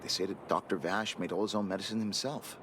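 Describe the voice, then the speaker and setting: A man playing a gossipy, secretive patient in a video game, speaking in an expressive, conspiratorial, hesitant way. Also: fairly low pitch, quick pace, faint music behind, natural voice. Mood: defensive.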